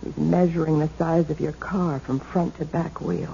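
Cold, mellow-voiced radio-play conspirator. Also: male voice, on the high side, flat delivery, moderate pace, creaky. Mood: cold, guarded. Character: cold, calculating co-conspirator in a murder plot. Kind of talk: 1970s radio drama acting. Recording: muffled, noisy 1970s radio broadcast.

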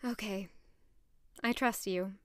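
A woman's voice: medium pitch, fairly even intonation, slow pace, dry recording, studio quality, natural voice. A young woman playing an earnest, emotional young noblewoman in a romantic fantasy audio drama, hesitant and sincere. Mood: confused, anxious.

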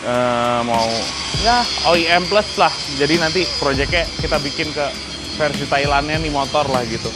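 A young man from Indonesia hosting a casual motorbike unboxing vlog, talking in a chatty, excitable way in an outdoor garage yard. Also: a little high, moderate pace, loud music behind the voice, low-quality recording. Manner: chatty, excitable, swears and laughs. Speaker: motovlog host.

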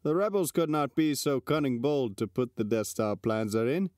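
A man's voice: mid-range voice, lively intonation, normal speed, darkish tone, no echo, natural voice. Man playing an overconfident captain, dry sound. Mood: dismissive. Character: overconfident military captain, dismissive. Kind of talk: Shakespearean dramatic reading.

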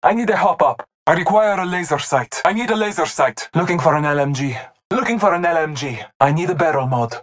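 An actor voicing a solemn, devout hunter in a video game, giving short callouts in a measured, formal, stoic manner. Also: medium pitch, lively intonation, lightly acted. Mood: calm, businesslike. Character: solemn, devout masked hunter, calm and reverent.

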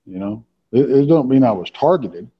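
A man co-hosts a live show, talking in a halting, folksy way with plenty of fillers. His voice sounds husky and sits at a middle pitch. The audio is dull and lo-fi, as if it came over a weak call line. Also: lively intonation. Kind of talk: casual live podcast chat.

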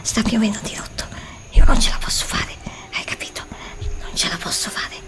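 A woman's voice: medium pitch, fairly even intonation, a little fast. A middle-aged woman whispering an ASMR roleplay, pleading and anxious, recorded indoors on a desk studio mic. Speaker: ASMR creator. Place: indoor room before a green screen.

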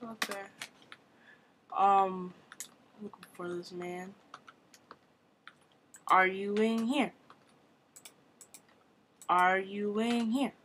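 A woman giving casual commentary on her own gameplay, thinking aloud with quick exclamations, at a desk mic at her computer. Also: mid-range voice, fairly even intonation, fairly bright tone, a little hiss or hum. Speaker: gamer recording her play.

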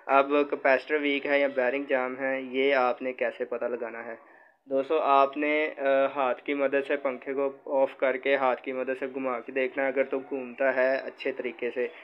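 A man giving informal, polite how-to instruction as an electrician addressing viewers as friends. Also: medium pitch. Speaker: electrician presenting a repair tutorial channel. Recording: muffled lo-fi phone video with background noise.

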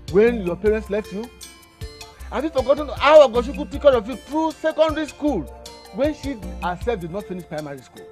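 Brief: Nigerian family elder, high voice, fatherly lecturing, soft music behind. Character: stern older uncle, family elder. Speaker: uncle.

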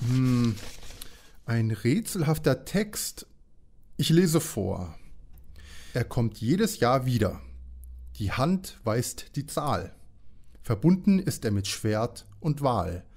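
A man's voice: medium pitch, sing-song, takes it slowly, pauses often, a little mellow, exaggerated voice. A man playing a good-natured, slightly naive young detective in an audio drama parody. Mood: puzzled, pondering.

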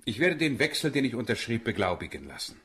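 An actor playing a hot-tempered, gruff middle-aged father in a German radio drama. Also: medium pitch, very animated, quick pace, pushed and bright. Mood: scolding. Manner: gruff, scolding.

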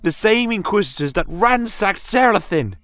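A man's voice: sits high, expressive, normal speed, fairly bright tone, dry recording, clean studio sound, pitched above the performer's own voice. A man plays a female satyr mother whose raised voice shakes with fear and anger. She is bitter and accusing, a fantasy storybook creature heard over faint music. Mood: bitter, anguished. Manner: shaky, angry.

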